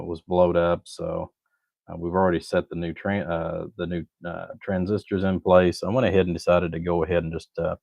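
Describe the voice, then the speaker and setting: A man with a very deep voice chats casually as he tinkers at his workbench. His delivery is folksy, rambling and laid-back. The sound is dry and close, with a slightly dull, lo-fi edge. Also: vocal fry, a little mellow, no room sound. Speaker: livestream host, hobbyist amplifier builder. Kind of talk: casual livestream chat while working at a bench.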